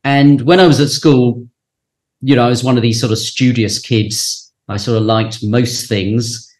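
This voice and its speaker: A man's voice: medium pitch, fairly even intonation, a little slow, a little mellow. A middle-aged man with a southern English accent, answering conversationally as a professor being interviewed. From southern England. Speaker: interview guest, university professor.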